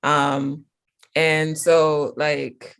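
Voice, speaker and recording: A woman's voice: deep voice, level tone, extremely slow, clean tone. An American woman hosting a casual interview, rambling questions filled with "like" and "um". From United States. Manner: rambling, heavy use of "like". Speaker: interviewer host.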